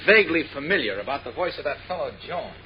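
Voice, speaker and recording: Fast-talking, purposeful hero. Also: male voice, a little high, big pitch swings, crowd noise. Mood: pondering. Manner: brisk, matter-of-fact, polite. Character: calm, purposeful adult male hero in everyday guise. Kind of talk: 1940s radio serial acting. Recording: dull, faintly noisy 1940s radio recording.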